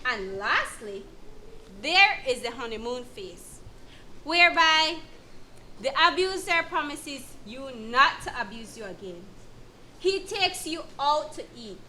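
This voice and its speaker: Lady counsellor acted by a woman in a teaching skit, firm n supportive, slow, really lively high pitch, some noise. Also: exaggerated voice. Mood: firm, reassuring. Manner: deliberate, emphatic, instructive. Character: female counsellor, firm, supportive, explaining abuse to victim. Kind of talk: scripted educational dramatization. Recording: clear background noise.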